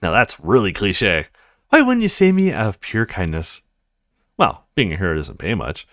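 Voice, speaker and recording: A man reading visual novel dialogue aloud as a let's play streamer, acting out the characters' lines in an animated way. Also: lowish voice, moderate pace, no room sound, clean studio sound.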